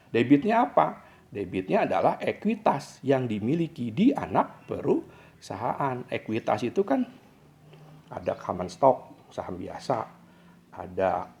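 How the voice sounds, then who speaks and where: Indonesian man lecturing, very animated pitch. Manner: didactic, asks and answers own questions. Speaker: accounting lecturer. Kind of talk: explanatory lecture.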